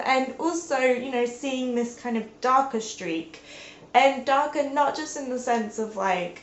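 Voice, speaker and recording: A young woman chats at home in a loose, rambling vlog style full of "like"s and playful bursts. Her voice sits a bit high and sounds congested from a sinus infection. The recording has a noticeable roomy echo. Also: highs cut off. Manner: rambling, peppered with "like", playful exclamations. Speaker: vlogger. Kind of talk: casual chatty video talk. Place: indoors at home.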